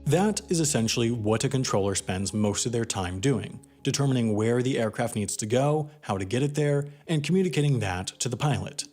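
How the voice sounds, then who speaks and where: Man narrating a lively explainer over music. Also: medium pitch, fairly quick, few pauses. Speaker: documentary narrator.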